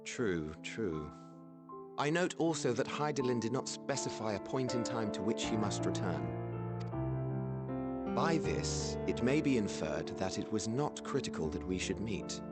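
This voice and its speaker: An actor playing a calm, reflective scholar of an ancient people in a game cutscene, politely reasoning in archaic phrasing. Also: male voice, fairly low pitch, a little slow. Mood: musing, reasoning. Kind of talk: video game cutscene voice acting. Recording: music under the voice.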